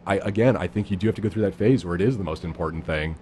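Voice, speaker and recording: Low-voiced man in his thirties, easygoing podcast guest, self-deprecating; slight background hiss. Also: rapid-fire, a little mellow. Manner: laid-back, self-deprecating, rambling. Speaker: improv guest. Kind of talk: casual podcast chat.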